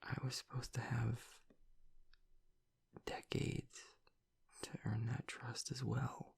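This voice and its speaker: A man playing a grieving young werewolf boyfriend, tough but vulnerable, choked up and halting as he confides in an intimate audio roleplay monologue. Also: mid-range voice, flat delivery, exaggerated voice. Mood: reverent, sorrowful. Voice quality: breathy, gravelly near-whisper. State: choked up with grief.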